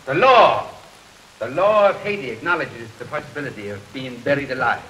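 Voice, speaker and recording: An actor in a 1930s film playing an earnest older man who explains dark matters haltingly and emphatically, repeating his phrases. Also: mid-range voice, animated, natural voice, low-quality recording. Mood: emphatic, explaining. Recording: hissy 1930s film soundtrack under rain. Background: rain and thunderstorm ambiance.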